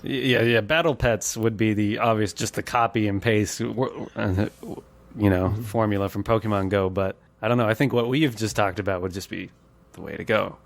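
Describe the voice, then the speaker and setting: A man's voice: lowish voice, fairly even intonation, moderate pace, gravelly, slight background noise. A man co-hosting a podcast, talking in a casual, conversational style. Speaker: podcast co-host. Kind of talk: casual chat.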